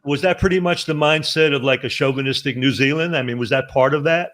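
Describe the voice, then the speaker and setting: A man's voice: medium pitch, fast, fairly bright tone. Earnest, rambling male interviewer. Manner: earnest, rambling questions with many restarts. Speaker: podcast host. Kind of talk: interview questions.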